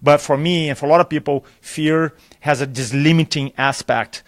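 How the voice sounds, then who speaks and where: Animated Brazilian-accented man, slightly high voice. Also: normal speed, no echo, clean studio sound. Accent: Brazilian Portuguese. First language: Portuguese. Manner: animated storyteller, acts out dialogue, laughs often. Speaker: public speaking trainer, guest. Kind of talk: enthusiastic live interview chat. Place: conference venue.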